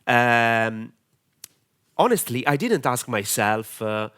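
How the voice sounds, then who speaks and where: Italian man with a lively, halting voice. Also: mid-range voice, clear, resonant tone. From Italy. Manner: hesitant, many filler sounds, direct and earnest. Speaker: online marketing mentor. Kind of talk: camera-confidence training talk.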